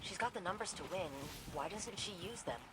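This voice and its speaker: A man's voice: sits high, lively intonation, a little fast. An actor playing a small, cheeky male robot companion in a video game, talking in a casual, quippy way. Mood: puzzled. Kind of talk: scripted video game dialogue. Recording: loud game noise behind voice.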